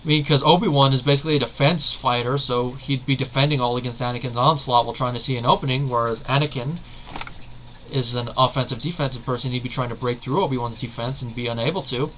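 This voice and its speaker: A man's voice: mid-range voice, steady tone, fast talker, fairly bright tone, slight background noise, low-quality recording. A man hosting a video, giving casual, rambling fan commentary and correcting himself as he goes. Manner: rambling, leans on the filler "basically"; analytical, self-correcting. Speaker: video host.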